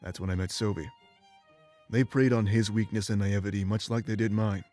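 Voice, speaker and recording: Man acting a low-voiced, weary immortal warrior. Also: steady tone, a little fast, dark tone, soft background music, lightly acted. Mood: regretful. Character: ancient immortal former rebel warrior, remorseful and weary man. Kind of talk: dramatic game voice acting, confessional monologue.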